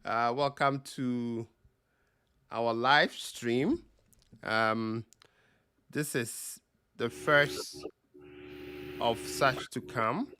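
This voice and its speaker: Ghanaian guy hosting a live stream q&a over a video call, slow pace, lots of ums. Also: mid-range voice, clean tone. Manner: slow, relaxed with frequent ums and uhs. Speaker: host.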